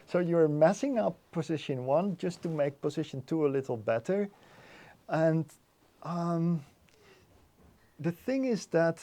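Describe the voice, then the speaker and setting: Mellow-voiced man explaining technical matters. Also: on the high side. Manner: halting, repeats words while thinking. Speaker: interview guest, loudspeaker designer.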